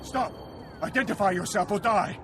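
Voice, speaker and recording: An actor voicing an earnest, deferential Imperial spy in a video game, urgent in manner. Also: male voice, high voice, moderate pace, noisy background, lightly acted. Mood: alarmed, shouting.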